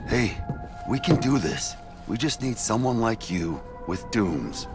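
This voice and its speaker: An actor playing a businesslike, urgent corpse disposal worker in a game drama, brisk, direct and practical. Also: male voice, fairly low pitch, very animated, full character voice. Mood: persuasive. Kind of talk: dramatic video game cutscene acting. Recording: music behind the dialogue.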